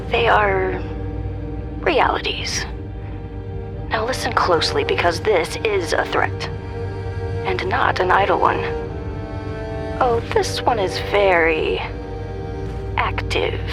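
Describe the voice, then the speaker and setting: A taunting evil lady, super slow and whispery, creepy music behind her. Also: medium pitch. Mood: threatening. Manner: slow, drawn-out, breathy near-whispers. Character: menacing, taunting, mocking female villain. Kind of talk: audio drama acting.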